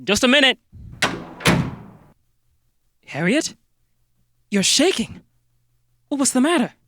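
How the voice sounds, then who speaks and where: An actor playing an earnest, polite small-town amateur detective who talks in a halting, folksy way, in a comic radio-drama style. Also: male voice, extremely high pitch, sing-song, background noise, exaggerated voice. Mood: concerned, startled.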